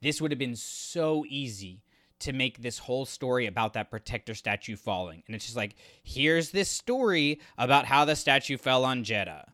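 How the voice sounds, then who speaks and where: A man's voice: mid-range voice, animated, moderate pace, sharp tone, dry recording, clean studio sound. A man co-hosting a podcast, talking through a story in a casual, analytical and explanatory way. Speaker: podcast co-host. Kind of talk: casual book discussion.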